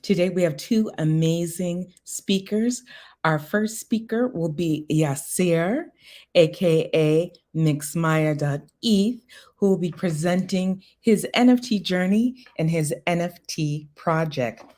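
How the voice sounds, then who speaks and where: A woman with a fairly low voice opens an online meetup. She welcomes the audience and introduces the first speaker in brief, polite phrases. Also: slow, darkish tone. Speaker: event host.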